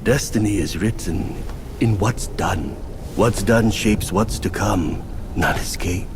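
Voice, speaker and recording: An old fortune-teller in a game cutscene, low and slow. He muses cryptically over clearly noisy surroundings. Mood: musing. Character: musing old fortune-teller, sure of his readings. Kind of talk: dramatic game cutscene acting. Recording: loud ambient noise behind.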